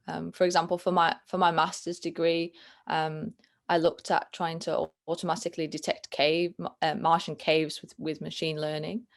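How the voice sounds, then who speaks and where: A young Yorkshire woman speaks on a video call, her voice sitting low with a gravelly fry. She talks thoughtfully, hedging and restarting as she works through her answers. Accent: Northern English, Yorkshire. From England. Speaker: guest, planetary science student. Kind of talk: casual interview chat.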